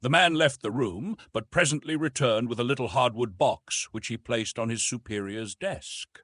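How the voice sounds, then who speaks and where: A man's voice: mid-range voice, normal speed, fairly bright tone, husky, no echo, clean studio sound. A man narrating an adventure novel as an audiobook in a scripted storytelling style.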